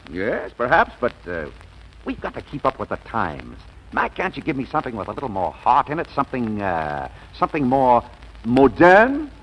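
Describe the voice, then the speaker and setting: Swooping, breezy boss on old radio. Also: male voice, mid-range voice, huge pitch swings, moderate pace, husky. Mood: enthusiastic pitching. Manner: exuberant, distracted, hums to himself. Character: breezy, self-assured businessman boss, giddily lovestruck. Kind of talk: 1940s radio comedy acting. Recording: muffled old radio broadcast recording.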